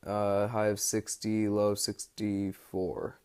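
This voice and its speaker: A young man with an American accent talks through a personal audio log in a fairly low voice. His delivery is flat and unhurried in melody, rambling casually and stopping often to gather his thoughts. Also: smooth. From United States. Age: young adult. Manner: hesitant, pausing often mid-thought. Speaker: study-abroad student keeping an audio log. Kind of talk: casual, rambling spoken diary.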